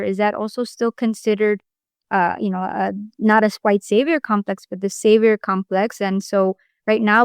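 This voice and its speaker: A woman's voice: medium pitch, clean tone, dry recording. A woman from Mexico, a podcast interview guest, speaking earnestly and reflectively with frequent restarts.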